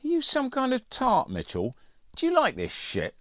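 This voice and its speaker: A man's voice: very high voice, huge pitch swings, normal speed, a bit bright, no echo, studio quality, pitched above the performer's own voice, full character voice. Man voicing a scornful, taunting teenage schoolboy bully. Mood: contemptuous. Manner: taunting, emphatic. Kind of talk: audiobook character voice.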